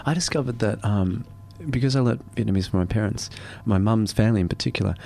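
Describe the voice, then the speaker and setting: A man's voice: on the low side, fast talker, vocal fry, warm tone. A man who is a guest on a radio show, speaking thoughtfully and unhurriedly with hesitant fillers. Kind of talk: relaxed interview talk.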